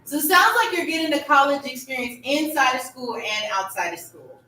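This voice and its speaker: A woman's voice: high-pitched, animated, brisk, bright, forceful tone. A woman interviewing guests at an awards event in a casual, playful way, laughing often. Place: awards luncheon venue indoors. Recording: echoey room, far from mic, faint noise.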